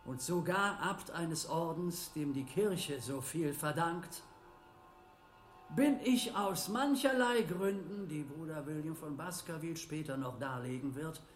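Slow, high-voiced dignified abbot, acted by a man. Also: lightly acted. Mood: solemn. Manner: measured, ceremonious oratory. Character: dignified abbot of a monastic order, formal and proud. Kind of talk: German radio drama acting. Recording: roomy hall with music behind.

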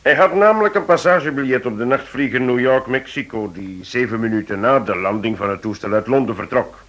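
A man's voice: medium pitch, a little fast, a bit bright, lightly acted. A man playing a composed senior investigator in a radio play, explaining things formally. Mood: matter-of-fact.

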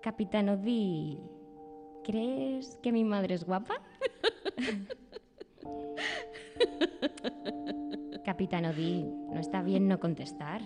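A woman's voice: mid-range voice, takes it slowly. Radio drama role: innocent young girl, cheeky, chatty, long reverb, music underneath. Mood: playful. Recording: reverberant room, music behind.

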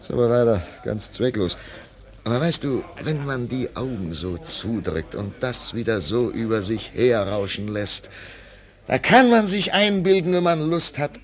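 Wistful artist, swooping theatrical delivery. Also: male voice, medium pitch. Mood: musing, fervent. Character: disillusioned, wistful male artist musing over wine. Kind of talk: German radio play acting.

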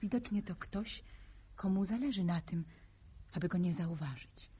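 An actress playing a composed, principled young village schoolteacher in a Polish radio drama, proper and quietly firm. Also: female voice, lowish voice, moderate pace, husky. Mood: cautious.

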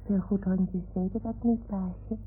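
A woman playing a plucky, boastful little toy dog in a radio play, with a childlike, eager manner and a husky voice. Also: mid-range voice, steady tone, unhurried, a little hiss or hum, lightly acted. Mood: eager, loyal. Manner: childlike, naive. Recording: muffled old radio drama, narrow band.

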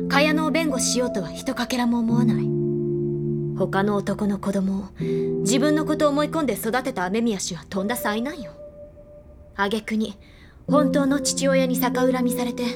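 A woman's voice: a little high, natural voice. A woman voicing a haughty, cool young lady in an audio drama, speaking in an imperious, cutting and formal manner. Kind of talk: audio drama acting, expository monologue. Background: loud music.

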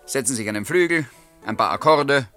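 A man's voice: medium pitch, expressive, brisk, pushed and bright, faint music behind. An actor playing a wry, courteous male writer who narrates and talks in a German radio play. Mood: mock indignant.

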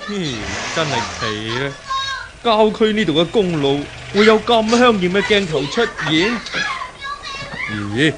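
A man's voice: sits high, sing-song, measured pace, noisy background. An actor playing a gawking, gleeful male motorist in a 1970s radio drama, with a Hong Kong Cantonese accent and an exclamatory, amused, leering manner. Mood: curious, surprised.

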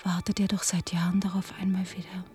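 An actress playing an ashamed, pleading middle-aged daughter in a radio drama, confessing in a hushed voice. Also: female voice, on the low side, level tone, fast, soft background music, lightly acted. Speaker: the collector's daughter.

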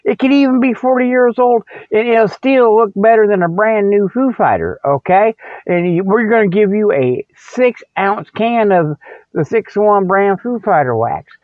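An American man hosting a solo comedy show in a folksy, rambling, repetitive way, drifting between digressions and mock sales pitches. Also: expressive, moderate pace, dry recording. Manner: folksy, digressive, repetitive, loses his thread. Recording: muffled, thin phone-like radio sound.

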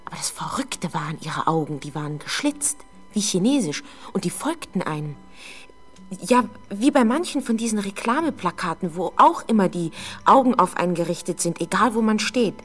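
A young woman playing a frightened, defensive teenage girl under police questioning in a 1970s German radio drama, halting and easily exasperated. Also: medium pitch, animated, fairly bright tone, breathy edge. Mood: haunted, agitated. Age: young adult. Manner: hesitant, halting, exasperated when doubted.

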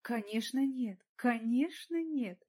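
Woman acting a theatrical, affected opera diva, high-voiced. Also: lively intonation, takes it slowly, darkish tone. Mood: gushing, coquettish. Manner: chirping, affected, dramatic. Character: vain, coquettish, theatrical female opera diva, gushing and self-pitying. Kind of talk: audiobook character voice.

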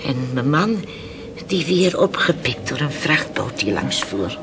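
A middle-aged fishing village widow in an old radio drama, speaking slowly and haltingly. She is resigned and grave, often sinking to a hush, on a clearly noisy old recording. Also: rumbling low, big pitch swings, exaggerated voice. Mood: haunted. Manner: slow, halting storytelling, dropping to hushed tones. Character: weathered, resigned fisherman's widow recounting losses to the sea. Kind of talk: Dutch radio play acting.

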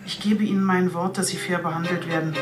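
A woman's voice: lowish voice, steady tone, quick pace, over loud music, lightly acted. A woman playing a police chief inspector in a radio drama, articulate, earnest and matter-of-fact. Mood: formal, composed. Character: determined, composed female police chief inspector. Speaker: police detective. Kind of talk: scripted radio drama dialogue.

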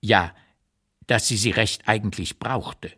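An actor playing a blunt 85-year-old writer looking back on his life, reading memoir notes in a measured, deliberate, emphatic way for a literary radio play. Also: on the low side, sharp tone, husky, full character voice. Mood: reflective, candid.

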